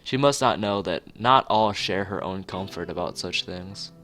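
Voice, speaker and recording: Low-voiced man streaming a game. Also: measured pace, a bit bright, soft background music. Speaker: streamer playing a visual novel. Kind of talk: live stream commentary and reading game text aloud.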